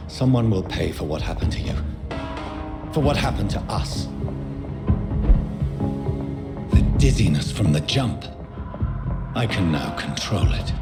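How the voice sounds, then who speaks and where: A man's voice: deep voice, gravelly, exaggerated voice. A man playing a tormented, newly turned vampire in a video game, brooding in formal, theatrical monologue. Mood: anguished, vengeful. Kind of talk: dramatic video game acting, inner monologue. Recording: loud game music behind. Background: game music.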